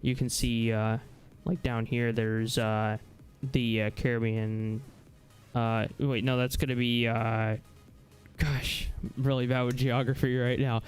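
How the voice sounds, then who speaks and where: A man with a clear, smooth, mid-pitched voice hosts a casual livestream, walking through software as he goes. He often halts to search for words, and music plays behind him. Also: little pitch movement. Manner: halting, searching for words as explaining. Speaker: livestream host. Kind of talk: casual live software demonstration.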